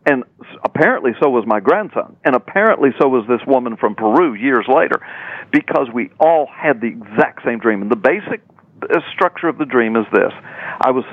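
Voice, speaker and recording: A man's voice: mid-range voice, animated, a little fast, no echo. An older man telling a personal story as a radio guest, emphatic and dramatic, often repeating phrases for effect. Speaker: radio guest, preacher and author. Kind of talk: animated personal storytelling in an interview. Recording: dull, narrow radio line, dry.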